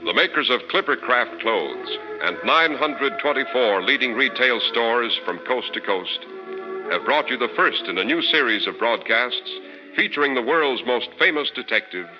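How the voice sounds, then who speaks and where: A male American announcer from the golden age of radio delivers scripted copy with polish and emphasis. His medium-pitched voice moves up and down a great deal. The broadcast sounds dull, with long reverb. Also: expressive, normal speed, over music. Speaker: radio announcer and sponsor's spokesman.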